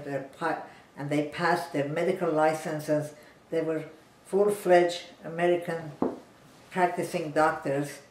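A woman in her nineties from Iran, calmly telling her life story in a seated interview on a living room sofa. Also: low-pitched. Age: 90s. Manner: measured, plain storytelling, seated and still. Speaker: interviewee, physician. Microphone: camera at a distance. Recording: roomy, distant home recording.

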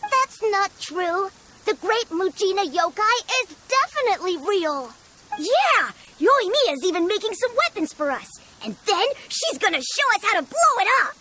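Eager, high-voiced village child. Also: female voice, sing-song, moderate pace, bright, forceful tone, a little hiss or hum, full character voice. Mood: indignant. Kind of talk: video game character dialogue. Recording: music behind the voice.

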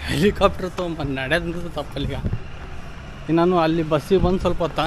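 Guy in his 30s vlogging on the street, highish voice, wry and chatty, loud traffic all around. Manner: chatty, wry and grumbling, addresses viewers directly. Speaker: travel vlogger. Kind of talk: walking travel vlog, talking to camera. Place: outdoors on busy city streets, bus stand and riverside. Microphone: handheld action camera at arm's length.

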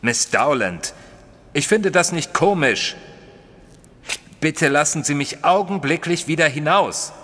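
An actor playing an educated, observant gentleman who narrates his own story in a formal, literary audio drama style. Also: a little high, animated, slow pace, long pauses, full character voice. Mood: alarmed. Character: male narrator Mister Tristram, educated, observant, easily flustered gentleman. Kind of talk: scripted first-person audio drama narration.

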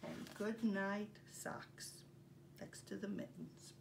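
An older woman presenting story time for young children, speaking in simple, deliberate phrases with pauses as she reads and demonstrates. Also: mid-range voice, flat delivery, creaky. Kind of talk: read-aloud and craft demonstration for young children. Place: carpeted home living room. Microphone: camera a few feet away. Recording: distant mic, faint room noise.

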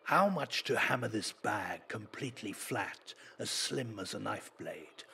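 A man's voice: on the low side, lively intonation, measured pace, vocal fry, bright, forceful tone, a little hiss or hum, heavily acted. A man voicing a bold, challenging magician from a folk tale, speaking emphatically. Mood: demanding.

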